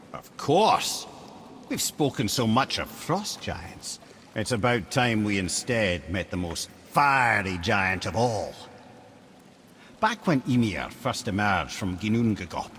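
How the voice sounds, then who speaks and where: An actor playing a witty, worldly old sage, telling tales in a theatrical, wry and lyrical way in a video game. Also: male voice, fairly low pitch, fairly bright tone, slight background noise, full character voice. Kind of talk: dramatic game storytelling.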